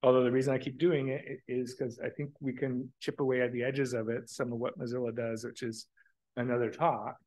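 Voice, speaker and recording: A middle-aged man giving a conference keynote from a podium in a lecture room, thoughtful and halting, restarting his phrases. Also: medium pitch, little pitch movement, darkish tone. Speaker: keynote speaker. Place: lecture room with large windows. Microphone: podium microphone.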